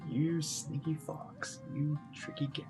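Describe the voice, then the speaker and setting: A woman's voice: low voice, little pitch movement, takes it slowly. A woman streamer giving casual live commentary on a game, playfully mock-grumbling as she goes. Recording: game music behind the voice.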